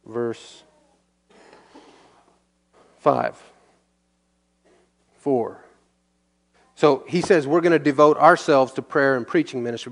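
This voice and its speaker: A man teaching a sermon verse by verse as pastor, reading scripture aloud and explaining it in a relaxed, conversational way, asking and answering his own questions. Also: on the high side.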